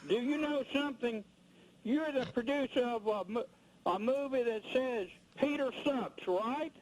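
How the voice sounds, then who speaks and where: Guy calling in mad, super high voice, scolding and repeating himself, bit of line noise. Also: a little slow, poor audio. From rural United States. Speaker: radio show caller. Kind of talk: angry phone-in rant.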